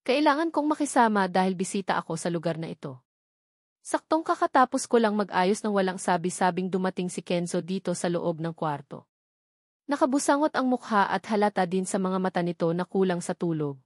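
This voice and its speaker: A woman's voice: fairly low pitch, expressive, fairly quick, darkish tone, no room sound, clean studio sound. A woman with a Filipino accent reading a first-person romance novel as a scripted narration. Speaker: audiobook narrator.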